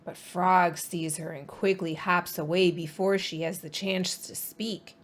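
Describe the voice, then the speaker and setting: A woman reading a children's storybook aloud in an expressive, animated and deliberate storytime style. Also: on the low side. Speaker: storybook reader.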